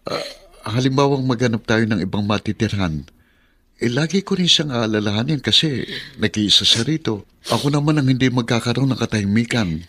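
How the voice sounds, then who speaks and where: A man's voice: mid-range voice, brisk, a bit bright, natural voice. A Filipino man playing a meek, placating grown son and husband in a radio drama, hesitant and appeasing. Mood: placating, pleading. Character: meek, placating adult son caught between mother and wife.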